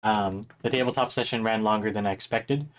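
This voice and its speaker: Young man, slightly hoarse voice, art teacher on a live stream, casual rambling, lots of ums. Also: on the low side, fairly even intonation, brisk, slight background noise. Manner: chatty, rambling, with many fillers and laughs. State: a little hoarse. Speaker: art instructor streaming a drawing workshop.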